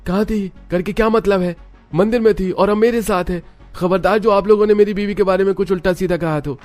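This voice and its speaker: Earnest young husband, acted by a man, pitched up. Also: fairly even intonation, brisk, a little mellow, faint music behind, natural voice. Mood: indignant. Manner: emphatic, dramatic. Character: earnest, devoted young husband in a family cartoon.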